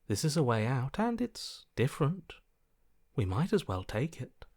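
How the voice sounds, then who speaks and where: An actor playing a detached, emotionless inner voice in a video game, dryly sardonic in manner. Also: male voice, medium pitch, wildly swooping pitch, unhurried, darkish tone, no echo, clean studio sound, exaggerated voice. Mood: cold, detached. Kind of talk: scripted game voice acting.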